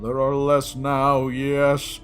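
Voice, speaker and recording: Man acting a grandiose, slow-talking cosmic guardian. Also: medium pitch, monotone, faint music behind. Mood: solemn, foreboding. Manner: portentous, theatrical, drawn-out phrases. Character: solemn male cosmic guardian, grandiose pan-dimensional being. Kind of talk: sci-fi audio drama acting.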